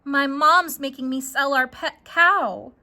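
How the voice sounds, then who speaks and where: A woman's voice: high voice, takes it slowly, sharp tone, full character voice. A woman voicing an earnest, anxious young farm girl in a children's story, plaintive and hesitant. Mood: glum, hesitant.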